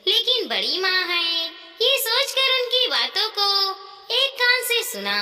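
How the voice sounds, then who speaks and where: A woman narrating a scripted moral story in a measured, dramatic storytelling manner with drawn-out phrases. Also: extremely high pitch, sing-song, pushed and bright, faint music behind. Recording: distant voice with long hall reverb.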